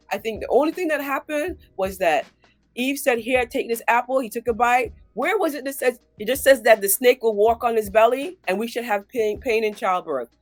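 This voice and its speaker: A middle-aged woman with a stuffy, nasal voice from a cold, pitched a little high. She talks casually and lively, slipping into short acted-out quotes. The sound is dry and close, with faint music underneath. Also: no echo. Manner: animated, acts out little quoted lines. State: congested with a cold. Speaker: gynecologist guest. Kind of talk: casual interview talk.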